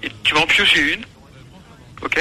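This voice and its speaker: A man's voice: a little high, moderate pace, sharp tone, rough recording. A man chatting on radio in casual, slangy, playful banter.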